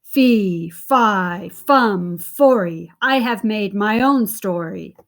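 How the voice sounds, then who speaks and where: A woman voicing a big, slow-witted, bullying male giant in a storybook, speaking in a booming, menacing way. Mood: sulky.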